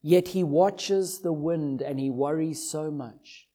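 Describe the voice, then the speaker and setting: A man's voice: a little high, slow pace, mellow. A man with a Zimbabwean accent preaching an expository sermon in a didactic way, driving points home with emphatic repetition.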